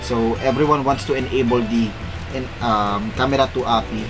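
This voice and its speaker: A man's voice: mid-range voice, steady tone, moderate pace, low-quality recording. Man with hesitant, filler-heavy tutorial talk, music behind. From Philippines. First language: Filipino. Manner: casual, hesitant, many fillers and self-corrections. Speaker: tech tutorial host. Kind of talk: screen-recorded tech walkthrough.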